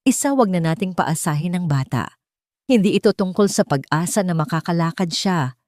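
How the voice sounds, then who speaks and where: A woman's voice: lowish voice, lively intonation, moderate pace, a little mellow, dry recording, studio quality, natural voice. A woman with a Filipino accent voicing a weary, skeptical, curt father in an audiobook story. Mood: irritable. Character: tired, irritable, skeptical working father.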